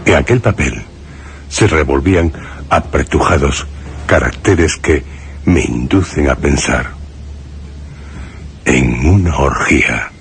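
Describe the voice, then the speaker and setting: An actor from a Spanish radio drama playing a haunted, horrified man who recounts his ordeal in grave, halting phrases with a Castilian accent. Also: very deep voice, vocal fry, slight background noise, exaggerated voice. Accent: Spain. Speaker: first-person narrator in a radio drama. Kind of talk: suspense and horror radio drama narration. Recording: music under the voice. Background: prominent music.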